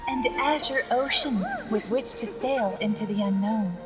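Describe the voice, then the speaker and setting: An actress playing a gentle, wise dying woman in a video game, speaking slowly, softly and with a measured delivery. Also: a little high, lightly acted. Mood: serene, poetic. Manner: slow, soft, measured, breathy delivery. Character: gentle wise dying woman, scroll weaver and old friend. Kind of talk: dramatic video game voice acting. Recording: long reverb, music behind.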